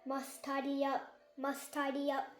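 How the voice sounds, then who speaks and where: A woman's voice: sits high, completely flat delivery, a little slow, reverberant. A young girl voicing an obedient, literal male toy robot in a read-aloud storybook. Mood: insistent. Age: child, about 6. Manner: repetitive, literal.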